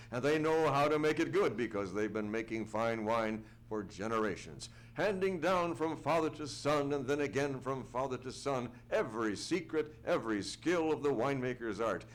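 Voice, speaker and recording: A man plays a genial announcer on an old-time radio show. His middle-pitched voice is chatty and folksy, with the assured ease of a sponsor's salesman. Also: slight background noise, close to an everyday voice. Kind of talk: 1940s radio sponsor spot. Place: theatre stage, live radio play.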